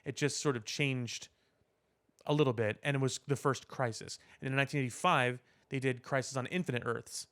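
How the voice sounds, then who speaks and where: Clear-voiced man explaining on a podcast. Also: medium pitch, fairly quick, fairly bright tone. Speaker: podcast co-host. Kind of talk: casual pop culture discussion.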